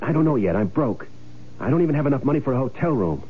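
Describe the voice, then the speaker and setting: An actor playing a broke, cocky schemer in a radio drama, with a wry, hardboiled, breezy delivery, both in dialogue and first-person narration. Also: male voice, mid-range voice, big pitch swings, quick pace, a little hiss or hum, lightly acted. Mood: brisk, furtive. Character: broke, cocky male schemer who faked his death. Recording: tinny radio broadcast with loud music.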